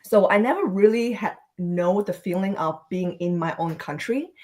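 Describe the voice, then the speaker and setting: A woman from Vietnam speaks as a podcast guest over a video call. She tells her family story in a reflective way and laughs easily, her pitch sitting in the middle range. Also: normal speed, a little mellow. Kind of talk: casual storytelling interview.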